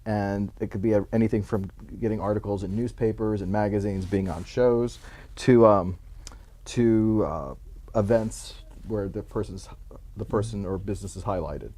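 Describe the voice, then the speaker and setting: A man born in Cuba, a publicist, relaxed and rambling as he tells his life story in an interview. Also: on the low side, normal speed. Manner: relaxed, rambling, many restarts and fillers. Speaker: Hollywood publicist, guest.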